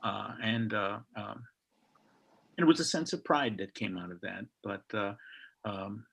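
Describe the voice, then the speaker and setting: A man's voice: on the low side, unhurried, creaky, breathy edge. An older man from Chicago, speaking reflectively on a video call panel, telling stories with frequent restarts. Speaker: panelist author.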